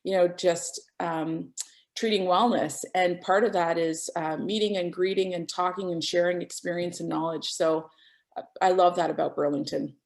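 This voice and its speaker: Female panelist, slightly low voice, hesitant delivery over a video call. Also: steady tone, normal speed, vocal fry. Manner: hesitant, searching for words. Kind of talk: casual panel talk.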